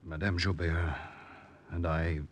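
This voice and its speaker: An actor playing an earnest young American undercover lieutenant, with an American accent, in 1940s radio drama style. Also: male voice, low-pitched, flat delivery, takes it slowly, a little hiss or hum. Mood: narrating. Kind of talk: 1940s radio acting and first-person narration. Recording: vintage radio with music behind.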